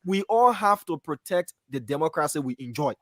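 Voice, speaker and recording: A man with a fairly high voice lays out procedures on a talk panel. His tone is firm and explanatory, like a lecture. The sound is close and dry, with no room echo. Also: expressive, normal speed, a bit bright. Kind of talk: panel discussion.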